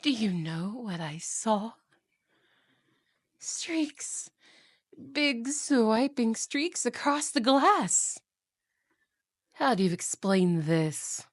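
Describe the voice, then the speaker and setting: Slow, haughty evil queen voiced by a woman. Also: a little high, very animated, dry recording, clean studio sound, full character voice. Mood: accusing. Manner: imperious, scolding, condescending. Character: haughty, cruel evil queen and wicked stepmother. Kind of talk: fantasy ASMR roleplay acting.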